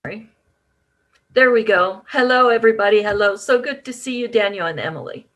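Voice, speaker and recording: A woman guest speaker on a video call telling a personal story, hesitant with many pauses and restarts. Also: medium pitch, a bit bright. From Japan.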